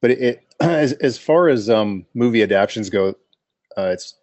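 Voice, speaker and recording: A man's voice: mid-range voice, animated, normal speed, a little mellow, no echo. A man giving casual reaction commentary as he watches along.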